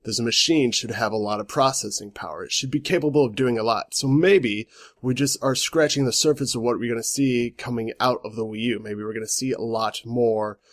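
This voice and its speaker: Male vlog host, stuffy nasal cold voice, mid pitch, dry close sound, rambling delivery. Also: a little fast, no echo. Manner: rambling, self-correcting. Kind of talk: casual gaming news vlog.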